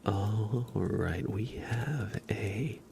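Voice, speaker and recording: A man streaming live ASMR, gently and unhurriedly switching between whispering and soft speech, close to the microphone. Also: on the low side, extremely slow, vocal fry, background noise.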